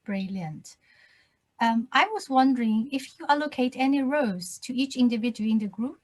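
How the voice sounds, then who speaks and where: A woman finance lecturer hosting an interview, speaking in a slow, measured and formal way over a video call. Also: a little high. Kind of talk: scripted introduction and interview questions.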